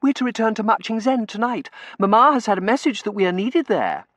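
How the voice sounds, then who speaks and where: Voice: mid-range voice, a little fast, a bit bright, no echo, voice pushed up, close to an everyday voice. A narrator voicing a spoiled, affected young lady who coos in fluttery, prissy baby talk in a storybook reading. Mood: gushing, eager.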